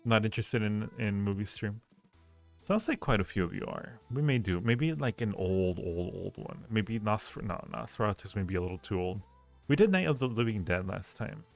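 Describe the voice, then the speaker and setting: A man with a low voice and a lot of fry chats casually to his livestream viewers. He muses aloud, piecing thoughts together as he goes. Faint music plays behind him. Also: expressive, normal speed. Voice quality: low-pitched, gravelly creak. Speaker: livestream host reading viewer chat.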